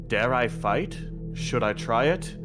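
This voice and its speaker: A man reciting a rhyming horror poem in a measured, rhythmic storytelling manner. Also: medium pitch, animated. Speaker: poet reading own work. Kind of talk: dramatic spoken-word horror poem recitation. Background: music track.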